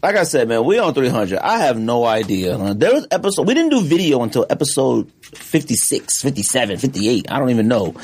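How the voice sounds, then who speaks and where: Animated, opinionated podcast man. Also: medium pitch, fairly quick, breathy edge. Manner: opinionated, emphatic riffing. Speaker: podcast co-host. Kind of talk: casual chat.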